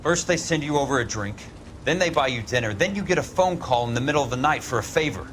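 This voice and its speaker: An actor playing a tough, sardonic investigator in a 1940s detective game, wry, terse and blunt. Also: male voice, medium pitch, lively intonation, quick pace, fairly bright tone, slight background noise, close to an everyday voice. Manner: wry, terse, hard-boiled.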